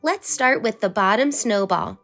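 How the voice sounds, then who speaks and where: A woman's voice: fairly high pitch, a little slow, faint music behind. A woman voicing a bossy big-sister girl. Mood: teaching. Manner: bright, instructive, confident.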